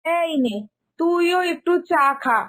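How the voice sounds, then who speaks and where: A woman's voice: very high voice, slow, fairly bright tone, full character voice. A woman voicing a lazy, slovenly aunt in a cartoon family story, breezy and chatty, scoffing at fuss. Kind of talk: animated Bengali family story dialogue.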